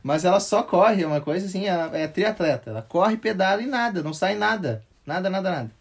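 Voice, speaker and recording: A man talks sport as a podcast co-host. He speaks very fast, in a jokey and opinionated way. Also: high-pitched, expressive, slightly rough. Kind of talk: casual sports debate.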